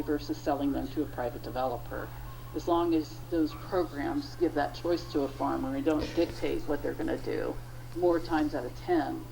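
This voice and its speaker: A woman's voice: low voice, vocal fry, dark tone, slight background noise, rough recording. A woman with an American accent, a county official, answering unscripted interview questions.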